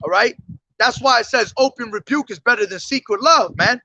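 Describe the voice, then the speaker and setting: High, bright-voiced man preaching on the street. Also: lo-fi sound. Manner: emphatic, calls listeners "man", reads scripture slowly. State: hoarse from days of yelling. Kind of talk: street sermon with scripture reading. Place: outdoors on a street.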